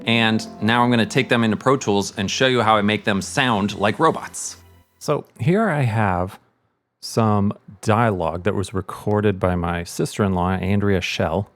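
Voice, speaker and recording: Low-voiced man giving a dry-sounding tutorial. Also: measured pace, fairly bright tone, no echo, soft background music. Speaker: host, sound editor and mixer. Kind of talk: casual tutorial.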